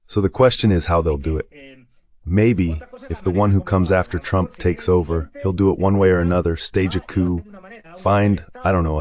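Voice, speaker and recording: A man's voice: sits low, darkish tone. A man speaking as the translated voice-over of a guest analyst, delivering political commentary.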